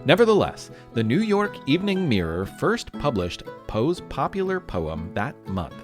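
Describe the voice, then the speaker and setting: A man's voice: mid-range voice, wildly swooping pitch, a little slow, music in the background. A man with an American accent narrating a scripted history podcast as host, telling it like a storyteller to young listeners.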